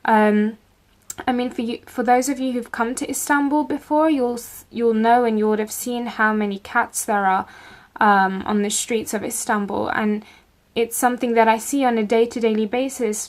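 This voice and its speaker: A young woman, recording a casual video account at home, talking in a measured way with frequent ums. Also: medium pitch. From England. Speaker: contributor recording a video report. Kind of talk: casual first-person account. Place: home room with bookshelves.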